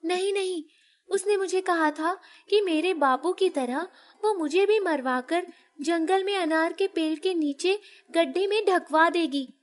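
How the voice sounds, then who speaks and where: Woman acting a high-voiced, plaintive young village woman. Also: measured pace, long reverb tail. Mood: frightened. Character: distressed young village woman, orphaned and cast out.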